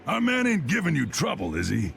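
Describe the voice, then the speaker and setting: An actor playing a blustery, hearty old guild boss in a video game, with an American accent and a gravelly, folksy, commanding delivery. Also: male voice, on the high side, huge pitch swings, a little fast, background noise, full character voice. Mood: gruff, brusque.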